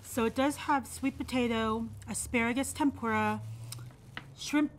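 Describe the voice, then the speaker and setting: A woman's voice: fairly high pitch, fairly even intonation, unhurried. A young woman vlogging a casual food review at a restaurant table, talking through each bite. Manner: chatty, describes each bite. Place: restaurant patio, outdoors. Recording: noisy patio ambience.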